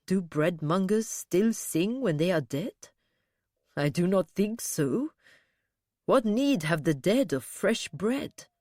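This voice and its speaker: Woman voicing a slow, grim man. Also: on the low side, expressive, mellow, no room sound, clean studio sound. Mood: pondering. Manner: slow, laborious, blunt. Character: slow-witted grim male captive soldier.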